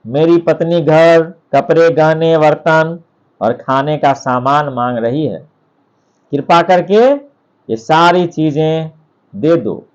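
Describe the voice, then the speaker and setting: A man's voice: fairly high pitch, slow pace, highs cut off. A man voicing a humble, contented, kind-hearted fisherman in a storybook, polite and unassuming. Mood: polite request.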